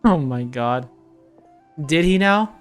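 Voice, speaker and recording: A woman's voice: sits low, lively intonation, slow pace. A woman giving casual live commentary as she reacts to a video game. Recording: game music behind voice. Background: game music.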